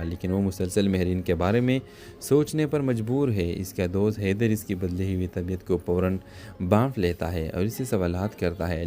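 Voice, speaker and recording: A man's voice: low-pitched, dark tone. A man reading a scripted recap of a television drama as a review narrator.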